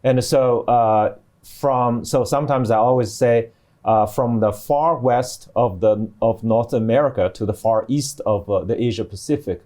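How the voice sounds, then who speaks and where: A middle-aged man explaining things on a panel as a professor, in a halting way with many restarts. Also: medium pitch, mellow. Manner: halting, hesitant delivery with repeated restarts. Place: TV studio.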